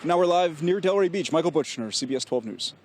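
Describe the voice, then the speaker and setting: A man's voice: a little high, expressive, fast, a little mellow. A man with an American accent giving a live television news report.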